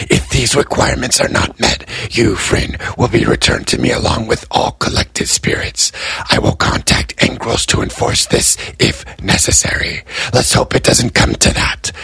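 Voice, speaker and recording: A man playing an eager, scheming male tally keeper who talks in a breathy, half-whispered way. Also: fairly low pitch, pushed and bright, no echo, voice pushed up, full character voice. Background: music.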